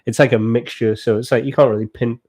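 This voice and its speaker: A chatty man with a northwest English accent, co-hosting a casual podcast. His pitch sits in an ordinary middle range. He talks loosely, laughs easily and slips into quick impressions. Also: steady tone, a little mellow. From England. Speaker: podcast co-host. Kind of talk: casual chat.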